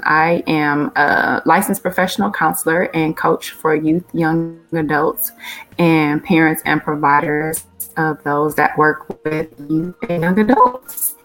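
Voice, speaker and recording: Slow, low-voiced woman counselor. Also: a bit bright, rough recording. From Milwaukee. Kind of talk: casual introduction. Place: video call.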